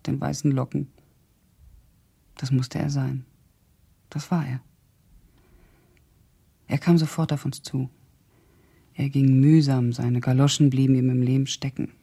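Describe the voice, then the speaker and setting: A woman's voice: very deep voice, flat delivery, unhurried, creaky, pauses often, dark tone, husky, full character voice. An actress playing an educated young Russian woman who recalls events reflectively and precisely in a radio drama. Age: young adult. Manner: reflective, precise, descriptive storytelling. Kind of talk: radio drama monologue as recollection.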